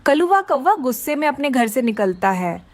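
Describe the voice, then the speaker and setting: A woman's voice: high-pitched, big pitch swings, moderate pace, darkish tone, dry recording. A woman narrating a scripted children's story.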